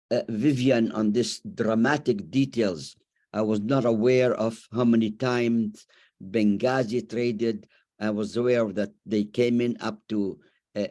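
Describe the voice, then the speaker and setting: A man in his nineties from Iraq, speaking haltingly as he comments and asks questions in an online discussion. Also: lowish voice, a little mellow. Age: over 90. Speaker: audience member, memoir author. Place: remote online video call.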